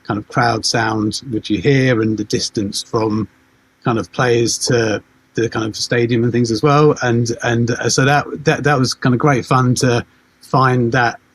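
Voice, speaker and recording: A man explaining creative work in a casual interview, with halting, repetitive phrasing. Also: mid-range voice, steady tone, a little hiss or hum. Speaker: audio production creative. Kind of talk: casual panel interview talk.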